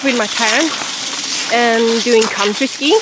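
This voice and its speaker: A young woman with a Swedish accent, chatting casually and giggling in slightly halting English while skiing through a snowy forest, filming herself on a pole-held camera. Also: a little high. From southern Sweden. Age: young adult. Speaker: vlogger. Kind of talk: casual vlog while cross-country skiing. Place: snowy forest trail outdoors. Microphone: camera on a ski pole at arm's length. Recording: outdoor wind and pole scratch noise.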